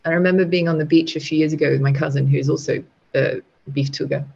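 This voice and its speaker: Gravelly, husky middle-aged woman. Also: deep voice, steady tone, fast talker, a little mellow. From Portugal and Britain. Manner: chatty, laughs easily, frequent restarts. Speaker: guest muralist. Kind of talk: casual interview chat. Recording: dull lo-fi sound.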